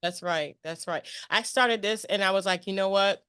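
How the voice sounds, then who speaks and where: A woman with a mid-range voice hosts a relaxed, chatty interview. She speaks expressively and emphatically, often repeating a phrase for emphasis. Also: mid-range voice, moderate pace, clear, resonant tone, no room sound, studio quality. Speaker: interview show host. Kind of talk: casual chat.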